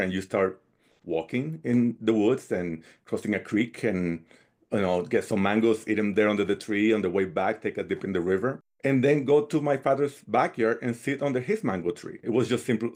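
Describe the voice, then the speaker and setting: A man's voice: on the low side, normal speed, darkish tone. A man from the Dominican Republic answering interview questions conversationally and reflectively, often checking in with "right" and "you know". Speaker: founder of an outdoor youth program, interview guest.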